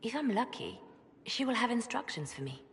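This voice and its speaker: An actress playing a composed, devout, pragmatic spymaster in a video game, speaking in a measured, earnest way. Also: female voice, on the high side, big pitch swings. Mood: guarded, serious. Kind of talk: video game cutscene dialogue. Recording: long reverb, faint background noise.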